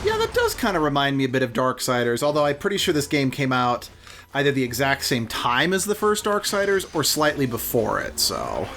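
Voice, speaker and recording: A man's voice: medium pitch, huge pitch swings, brisk, fairly bright tone. A man co-hosting a let's play with casual, conversational gaming commentary.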